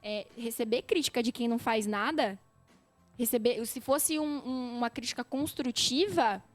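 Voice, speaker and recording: A young Brazilian woman with a Brazilian Portuguese accent, a podcast guest telling lively stories and speaking straight to listeners. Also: on the high side, normal speed, faint music behind. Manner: lively storyteller, often addresses listeners directly. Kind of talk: casual chat.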